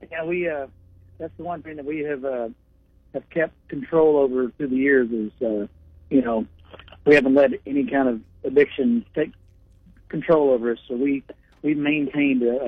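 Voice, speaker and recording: A man's voice: medium pitch, moderate pace, slightly rough, dry recording. A man from Oklahoma, a touring musician, chatting easily as a guest over the phone and laughing now and then. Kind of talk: casual interview by phone. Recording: tinny phone line.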